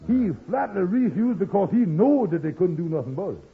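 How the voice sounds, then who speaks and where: An actor playing a blustering, folksy know-it-all schemer in an old radio comedy. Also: male voice, high-pitched, sing-song, a little fast, slightly rough, exaggerated voice. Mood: pompous, pondering. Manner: folksy, pompous, expansive. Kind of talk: 1950s radio comedy acting. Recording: tinny old radio recording.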